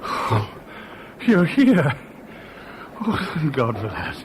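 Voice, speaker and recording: Earnest anxious lover, 1970s radio drama, lively swinging intonation, clear background noise of an old broadcast. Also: male voice, fairly high pitch, very slow, heavily acted. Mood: frantic, disbelieving. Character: lovesick, anxious man desperate to flee with his lover.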